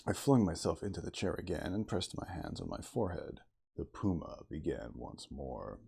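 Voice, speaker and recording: A man reading a classic novel aloud as ASMR, in a soft, intimate, slow dramatic storytelling manner. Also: sits low, very animated, creaky, husky. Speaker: audiobook reader in character as the narrator.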